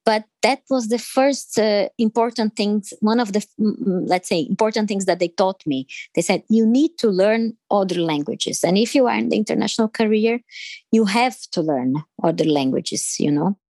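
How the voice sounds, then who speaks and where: A woman from Brazil with a Brazilian Portuguese accent, answering interview questions in English with many pauses, fillers and restarts. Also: medium pitch, a little mellow, no room sound. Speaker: interview guest.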